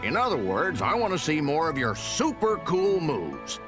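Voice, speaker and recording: An actor playing a flamboyant, eager fanboy in a video game cutscene, with a theatrical, gushing manner. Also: male voice, a little high, wildly swooping pitch, normal speed. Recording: music behind the voice.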